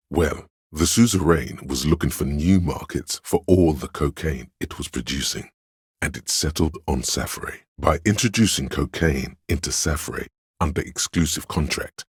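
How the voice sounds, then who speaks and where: A man voicing an erudite, composed know-it-all inner voice in a video game, delivering measured, precise, matter-of-fact exposition. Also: dry recording, clean studio sound, exaggerated voice. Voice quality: very deep, gravelly, husky. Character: encyclopedic inner voice, a learned, composed male know-it-all narrator. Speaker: game voice actor. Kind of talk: scripted game narration, expository.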